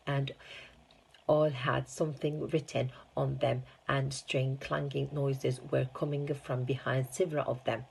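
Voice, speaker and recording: A woman reads a children's story aloud in a low voice. It is creaky and husky, and her delivery stumbles a little over the words. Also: darkish tone. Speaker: teaching assistant reading aloud.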